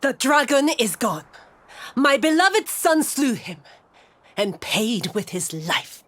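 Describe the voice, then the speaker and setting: A woman's voice: a little high, big pitch swings, slow pace, a bit bright, exaggerated voice. A woman playing a scornful, menacing village matriarch in a video game cutscene, with a haughty, volatile, theatrical delivery. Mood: furious.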